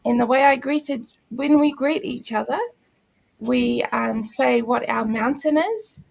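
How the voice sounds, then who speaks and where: This is a middle-aged woman from New Zealand with a New Zealand accent, whose first language is Māori. Her voice sits a little high and has a strong gravelly fry. She speaks in an unhurried, explanatory way, as if on a video call. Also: low-quality recording. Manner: unhurried, formal greeting in Māori then warm explanation. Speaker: school principal and book circle guest. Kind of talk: casual introduction on a video call.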